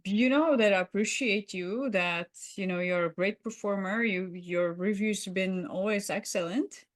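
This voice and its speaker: A woman playing a rushed, evasive but friendly boss in a workplace roleplay, with a flustered, effusive manner. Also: medium pitch, natural voice. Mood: placating.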